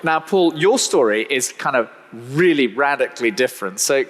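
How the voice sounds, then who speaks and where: A man's voice: mid-range voice, expressive, moderate pace, fairly bright tone. A man hosting a friendly on-stage interview, chatty and hesitant, often repeating his words. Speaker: host interviewing church members. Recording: reverberant hall.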